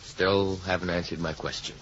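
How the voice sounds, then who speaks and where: An actor playing an eager, flirtatious suitor in a radio drama, slightly hesitant in manner. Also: male voice, low voice, fairly even intonation, normal speed, a bit bright. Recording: muffled, noisy old radio recording.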